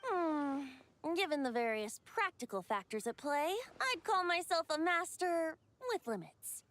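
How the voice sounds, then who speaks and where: A woman playing a youthful, androgynous bard in an anime game, playful and gentle, with a lilting storyteller's delivery. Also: sits high, big pitch swings, a little slow, full character voice.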